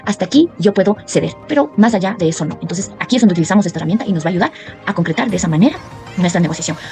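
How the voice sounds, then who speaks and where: A woman's voice: lowish voice, lively intonation, very fast, dark tone, soft background music. A woman giving an explanatory academic presentation as a student, setting out her points in a didactic way. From Ecuador.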